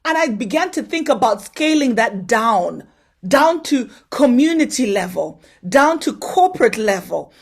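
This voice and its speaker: A woman from Zimbabwe, speaking in a fairly high voice. She delivers a motivational address to a conference audience and keeps pressing them with direct questions. Her tone is earnest and exhorting. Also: very animated, measured pace.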